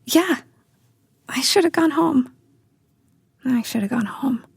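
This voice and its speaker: A woman's voice: high voice, takes it slowly, creaky, no echo, full character voice. An actress playing a wistful, wry young woman far from her family, telling her story conversationally in an audio drama monologue. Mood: wistful regret. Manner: conversational, confiding.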